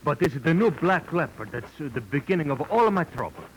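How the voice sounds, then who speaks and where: An actor playing an excitable, doting Italian animal show owner with a theatrical manner and broken English, in 1940s radio style. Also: male voice, a little high, fast. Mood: fond, anxious. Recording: noisy old 1940s radio recording.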